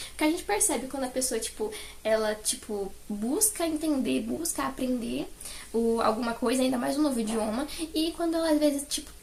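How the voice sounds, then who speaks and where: Young woman, Brazilian Portuguese accent, rapid casual chat, animated fangirl delivery, some vocal fry, faint background noise. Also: a little high. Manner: gushing, animated fan talk. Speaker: fan vlogger. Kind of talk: casual chat recounting favourite moments.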